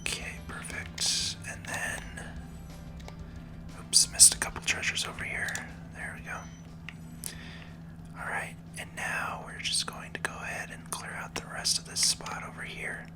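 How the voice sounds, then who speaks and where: A person whispering calm, unhurried ASMR commentary over a video game playthrough in a soft, breathy voice. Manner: calm, unhurried, gently explanatory. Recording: music behind the whisper. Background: game music.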